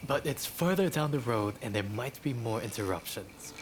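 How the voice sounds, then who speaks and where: An actor playing a reclusive samurai medical researcher in a video game, courteous, wry and measured in his speech. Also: medium pitch, lively intonation, slight background noise, natural voice. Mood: cautious, courteous. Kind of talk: dramatic video game acting.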